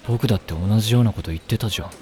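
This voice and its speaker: Man playing an aloof, sarcastic deadpan schoolboy. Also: fairly low pitch, very fast, very noisy, lightly acted. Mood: dry, sarcastic. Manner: deadpan, snide. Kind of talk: anime audio drama acting.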